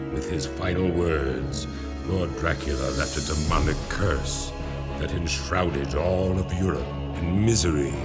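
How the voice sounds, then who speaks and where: A grave male storyteller introduces a dark fantasy game. His voice is extremely low and his delivery portentous. Loud music plays underneath him. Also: full character voice. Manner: solemn, ominous, portentous. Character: solemn male narrator of a dark gothic legend. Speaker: narrator. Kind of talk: dramatic scripted narration.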